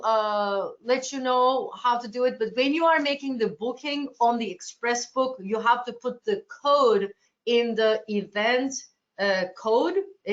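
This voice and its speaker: A woman from Turkey answering agents' questions in a webinar, restarting sentences often in a reassuring, accommodating way. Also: a little high. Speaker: cruise sales presenter. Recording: lo-fi webinar audio.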